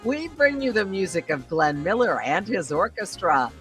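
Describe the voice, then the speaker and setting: A gracious old-time radio announcer presenting a dance band. Her mid-pitched voice swings melodically in a formal, showy 1930s style. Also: big pitch swings, normal speed, fairly bright tone, faint music behind.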